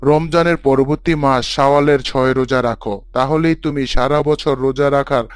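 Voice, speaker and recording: A man's voice: medium pitch, steady tone, moderate pace, clean tone, dry recording, clean studio sound, close to an everyday voice. A man playing a devout imam who gives formal, instructive sermons in a cartoon story. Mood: solemn, instructive. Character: devout male imam teaching his congregation.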